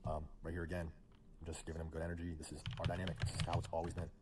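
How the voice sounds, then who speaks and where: A man narrating his falling-out with a friend as he scrolls through old messages. He talks quickly and rambles, with many fillers. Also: low-pitched, monotone, heavy background noise. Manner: fast, rambling, filler-heavy. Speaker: streamer recounting a friendship. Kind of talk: narrating over screenshots.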